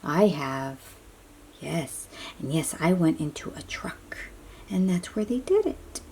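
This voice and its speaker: A woman reads aloud to little children at a very unhurried pace, with a lot of rise and fall in her delivery. Her voice is soft-edged and mellow, and she explains things in simple phrases, often asking the listener a question and then answering it. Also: low-pitched, very animated, a little hiss or hum. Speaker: children's picture book read-aloud host.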